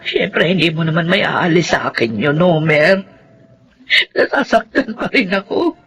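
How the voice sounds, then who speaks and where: A woman's voice: lowish voice, lively intonation, a little slow, a bit bright, breathy edge, exaggerated voice. A woman playing a hurt, tearful, loving middle-aged mother in a radio drama. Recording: music under the voice.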